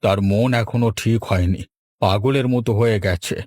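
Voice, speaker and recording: A man's voice: on the low side, normal speed, a little mellow, no room sound, close to an everyday voice. A man voicing a kindly, sensible older father in a village cartoon. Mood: worried.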